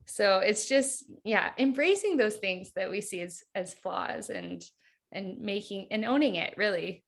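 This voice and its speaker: A woman's voice: medium pitch, animated, unhurried, creaky. Thirties female guest, casual and reflective, leans on "like" a lot. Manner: chatty, reflective, heavy use of "like". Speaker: hypnotherapist guest. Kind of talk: casual podcast interview.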